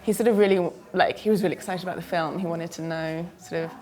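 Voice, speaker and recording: A woman's voice: lowish voice, fairly even intonation, fast, darkish tone. A young woman from the UK, a filmmaker guest answering interview questions hesitantly, full of fillers, and tired from travel.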